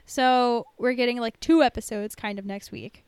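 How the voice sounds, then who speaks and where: A woman's voice: fairly high pitch, fairly even intonation, normal speed, darkish tone, clean tone, dry recording. A woman chatting casually as a podcast co-host, in an informal, hedging way peppered with "like". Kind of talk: casual podcast chat about TV shows.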